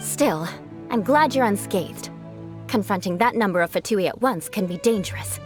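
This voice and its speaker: An actress playing a proud, vengeful young aristocratic knight in a video game, with a formal, theatrical manner. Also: female voice, medium pitch, expressive, moderate pace, over music, lightly acted. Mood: cool, proud.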